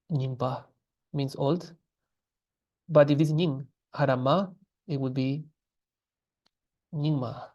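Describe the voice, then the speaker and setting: Gravelly-voiced man teaching a language class. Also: medium pitch. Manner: patient, relaxed, with many fillers and restarts. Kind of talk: explaining grammar in a class.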